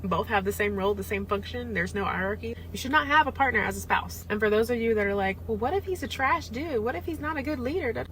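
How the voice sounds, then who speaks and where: A woman's voice: fairly high pitch, fast talker, few pauses, fairly bright tone, a little hiss or hum, poor audio. A young American woman giving an opinionated social media monologue in a blunt, didactic manner. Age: young adult. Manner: blunt, didactic, quotes imagined objectors.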